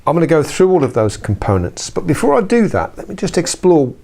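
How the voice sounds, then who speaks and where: A man's voice: on the high side, wildly swooping pitch, fairly quick, gravelly, a little mellow. A middle-aged British man presenting an informal video blog as a trainer, chatty and self-correcting, with wry asides. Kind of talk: informal instructional talk to camera.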